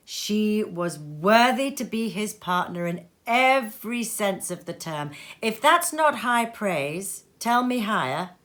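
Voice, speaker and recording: A woman reading a classic story aloud as expressive narration, with dramatic emphasis and deliberate pauses. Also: mid-range voice. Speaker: storybook reader. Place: sitting room.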